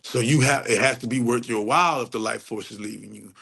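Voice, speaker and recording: A middle-aged man on a casual livestream rant, preachy and profane, repeating phrases for emphasis and climbing sharply in pitch when he gets heated. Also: quick pace, gravelly, fairly bright tone, slightly rough. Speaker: livestream host answering chat.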